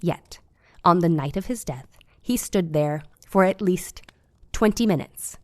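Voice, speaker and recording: A woman as an eccentric lady doctor, lowish pitch, dry close sound, earnest, deliberate, formal, audio play. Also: lively intonation, dry recording. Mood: solemn, confiding. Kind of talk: audio drama dialogue.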